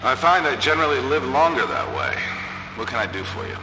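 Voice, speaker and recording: An actor playing a weary, guarded, wry middle-aged squadron commander, telling war stories tersely in a sci-fi video game. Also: male voice, medium pitch, huge pitch swings, brisk. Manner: terse, world-weary, matter-of-fact storytelling. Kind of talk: dramatic scripted cutscene narration. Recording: echoey hall reverb, loud game music.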